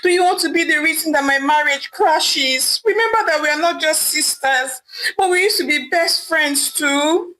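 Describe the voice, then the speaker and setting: A woman's voice: sits high, normal speed, pushed and bright, slight background noise, pitched above the performer's own voice, rough recording. A woman voicing an angry, betrayed young wife who screams, wails and begs. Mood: pleading. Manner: screaming, wailing, begging.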